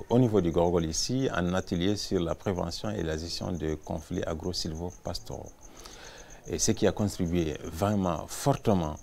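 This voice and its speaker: A man's voice: low voice, gravelly, a little mellow. A man explaining reflectively in an interview, repeating and building his phrases as he goes.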